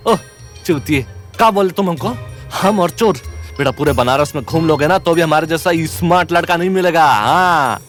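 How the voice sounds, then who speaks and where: A brash young sidekick, played by a man with an eastern Uttar Pradesh accent. He sounds boastful and comic, a little high in pitch, as in an audio drama. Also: moderate pace, fairly bright tone, faint music behind, close to an everyday voice. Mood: indignant boasting.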